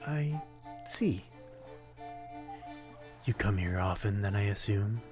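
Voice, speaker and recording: Man playing a slow, low-voiced courtly vampire. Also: animated, music in the background. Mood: soothing, inviting. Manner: formal, old-fashioned, gently wistful. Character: courtly, melancholy male vampire stranger by a harbor. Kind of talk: ASMR roleplay, intimate scripted monologue.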